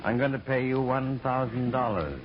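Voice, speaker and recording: An actor playing a meek, fussy middle-aged gentleman in a radio comedy. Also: mid-range voice, normal speed, natural voice. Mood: entranced, obedient. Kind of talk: mid-century radio comedy acting. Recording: dull, noisy old radio recording.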